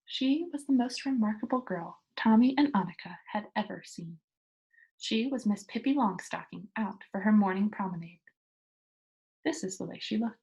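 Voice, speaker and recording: A woman reading a children's story aloud as narrator, in a deliberate, expressive storytelling manner. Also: a little high, rough recording.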